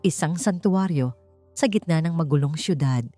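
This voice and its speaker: Female storyteller, lower register, measured dramatic romance narration with light music underneath. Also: warm tone. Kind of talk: dramatic scripted romance narration in Tagalog with English dialogue. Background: background music.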